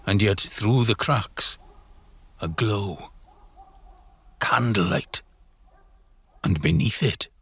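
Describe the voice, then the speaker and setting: A man narrating a horror audio drama in a brooding, theatrical way, in short halting phrases. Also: lowish voice, a bit bright, slightly rough, dry recording. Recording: music bed under the voice. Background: music.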